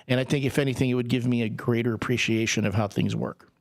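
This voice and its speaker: A man co-hosting a local radio chat show, animated and quick to laugh, who grew up speaking Spanish first. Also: mid-range voice, gravelly. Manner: animated, laughing, rapid bursts of speech. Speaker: radio co-host. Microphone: studio microphone.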